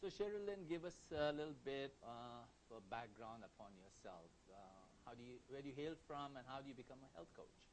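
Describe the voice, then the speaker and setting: A man's voice: mid-range voice, expressive, brisk, few pauses, slight background noise, low-quality recording. A man hosting an interview show, asking probing questions and often repeating or rephrasing himself as he goes. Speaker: talk show host.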